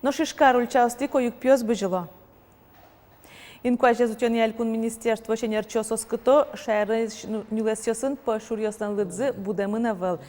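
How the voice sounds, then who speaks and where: A woman reading the regional news as an anchor in a steady, scripted delivery. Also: on the high side. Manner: steady, even newsreader delivery. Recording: long reverb, faint noise.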